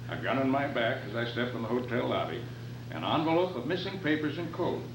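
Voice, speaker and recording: A man's voice: mid-range voice, animated, a little fast, lightly acted. A man playing a confident detective in a 1940s-style radio drama, patiently laying out his cases. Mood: dramatic. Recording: noisy, echoey live convention stage recording.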